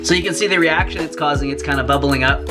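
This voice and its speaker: An upbeat young man chats straight to the camera as he cooks, addressing viewers casually and warmly. He sounds like he is in a small home kitchen. Music plays clearly underneath his voice. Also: fairly high pitch, fast. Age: young adult. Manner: upbeat, chatty, addresses viewers as "you guys". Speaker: cooking channel host. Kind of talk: casual cooking vlog to camera.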